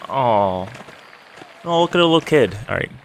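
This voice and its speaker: A man's voice: medium pitch, sing-song, normal speed, noisy background. A man giving casual let's play commentary in muttered asides as he plays. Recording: music and rain behind the voice.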